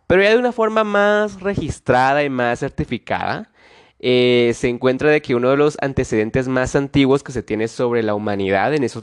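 Congested man hosting a podcast. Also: medium pitch. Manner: casual, frequent tag questions and small restarts. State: nasal congestion from a cold. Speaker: podcast host. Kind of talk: solo history podcast narration.

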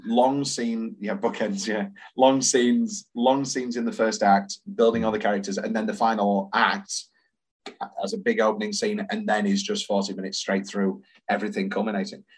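A man's voice: lowish voice, flat delivery, normal speed, slightly rough, rough recording. A man co-hosting a podcast, chatting enthusiastically about musicals and reciting lyrics aloud. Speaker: podcast co-host. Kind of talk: casual chat about musicals.

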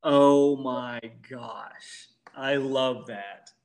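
A man's voice: medium pitch, steady tone, drawn-out words, a little mellow. A man of thirty-one chatting casually as a podcast guest, rambling and hesitant, with mild exclamations. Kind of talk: casual chat.

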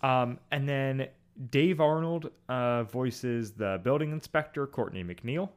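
A man's voice: medium pitch, slow, clear, resonant tone. A young man co-hosting a casual podcast, chatty and joking. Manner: chatty, with frequent ums and jokes. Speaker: podcast co-host.

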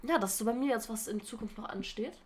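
A woman in her twenties co-hosting a podcast, chatting casually and slangily while hoarse from a cold. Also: a little high, fast talker, darkish tone. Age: 20s. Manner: chatty, slangy, many fillers. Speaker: podcast co-host. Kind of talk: casual chat.

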